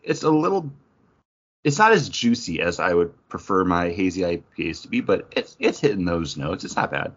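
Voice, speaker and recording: A man's voice: low voice, very animated, moderate pace, fairly bright tone. A man co-hosting a podcast, talking in a casual, conversational style. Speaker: podcast co-host. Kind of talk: casual chat. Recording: dull lo-fi recording.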